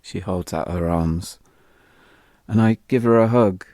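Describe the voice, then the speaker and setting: A man's voice: low-pitched, a little slow, darkish tone, no room sound, clean studio sound. A British man telling a scripted first-person story as an audio drama narrator, wry and confessional, with music behind him.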